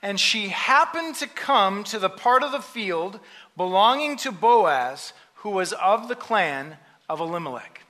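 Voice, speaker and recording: A man preaching a sermon in short phrases, pausing so an interpreter can translate. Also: sits high, expressive, pushed and bright. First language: English. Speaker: pastor. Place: church sanctuary.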